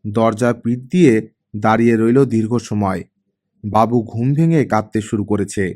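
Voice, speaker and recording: A man's voice: fairly low pitch, normal speed, mellow. A man reading a work of fiction as an audiobook narrator, in a dramatic storytelling style.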